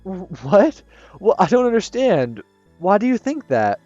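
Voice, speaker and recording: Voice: mid-range voice, sing-song, measured pace, mellow, no room sound, lo-fi sound, soft background music, pitched above the performer's own voice. A gamer reading a visual novel aloud, voicing an earnest, caring teenage schoolboy who speaks urgently. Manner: urgent, insistent.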